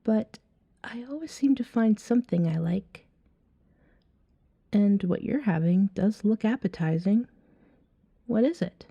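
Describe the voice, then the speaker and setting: A woman playing a flirty, self-assured female vampire in an ASMR roleplay, teasing a stranger at a bar in a soft-spoken, breathy way. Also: medium pitch, expressive, unhurried, pauses often, dry recording, lo-fi sound, exaggerated voice. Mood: relaxed, flirty. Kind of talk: ASMR roleplay, acted one-sided dialogue.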